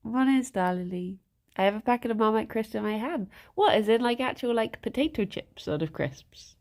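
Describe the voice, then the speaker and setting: A woman's voice: a little high, lively intonation, normal speed, a little mellow. A woman with a stuffed-up nose, chatting casually with her live chat, greeting viewers by name and giggling often. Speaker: streamer.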